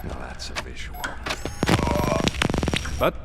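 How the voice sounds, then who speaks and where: An actor playing a smug, menacing crime boss in a video game, gloating and taunting theatrically. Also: male voice, slow pace, very noisy, close to an everyday voice. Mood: quiet gloating. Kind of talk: video game cutscene acting.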